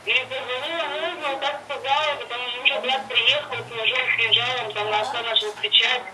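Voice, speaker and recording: A woman on a telephone call, giving a halting personal account in everyday conversational speech. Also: high-pitched, steady tone, pushed and bright, slight background noise. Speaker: caller telling her personal story. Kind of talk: hesitant personal account over the phone. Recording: distant telephone line with faint background voices.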